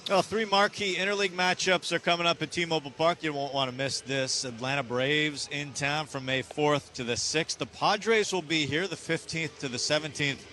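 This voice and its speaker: A man's voice: on the high side, moderate pace, few pauses, bright, forceful tone, slight background noise. An American man doing polished baseball radio commentary and announcements as a broadcaster. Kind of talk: polished sports broadcast commentary and promo reads.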